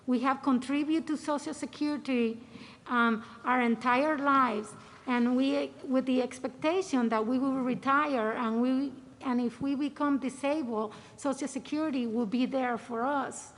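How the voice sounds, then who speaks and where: A woman's voice: high voice, steady tone, measured pace, low-quality recording. A middle-aged woman, a retired Coast Guard lieutenant, reading a prepared personal statement hesitantly, with many filler sounds. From Dominican Republic.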